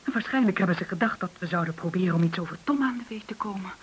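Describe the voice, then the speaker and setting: An actress in a radio play playing an anxious, distraught woman with a breathy, shaken delivery. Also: lowish voice, animated, rapid-fire, lightly acted. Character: anxious woman, nerves frayed, confiding in a friend. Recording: hissy, clicking old radio recording.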